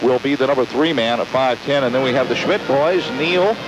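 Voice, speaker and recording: A man doing live radio play-by-play commentary of a high school basketball game. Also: fairly quick. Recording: noisy amateur recording of radio broadcast.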